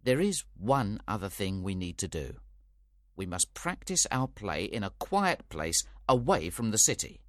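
Man as a very animated, instructing troupe director. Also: on the low side, a little slow, dry recording, clean studio sound. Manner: firm, explanatory, instructing. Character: patient male troupe director, reassuring but easily exasperated. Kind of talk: audiobook character dialogue.

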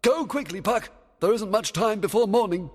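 A man voicing a commanding, excitable fairy king in a storybook, emphatic and theatrical. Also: a little high, a little slow, husky, long reverb tail, voice pushed up, exaggerated voice. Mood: angry, commanding. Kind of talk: storybook character voice.

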